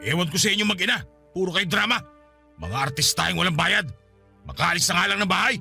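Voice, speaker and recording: Blustery pinoy dad in radio drama, short fused, swings up and down, bright voice. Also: male voice, high-pitched, very animated, quick pace, vocal fry, faint music behind, heavily acted. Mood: irritated, dismissive. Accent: Filipino. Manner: blustering, indignant. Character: short-tempered, defensive drinking father of a grown family. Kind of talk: Filipino radio drama acting.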